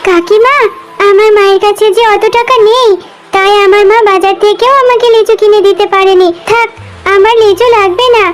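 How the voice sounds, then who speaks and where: A woman's voice: extremely high pitch, steady tone, normal speed. A woman voicing a sweet, eager, earnest little girl in a children's cartoon. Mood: earnest, pleading. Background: music.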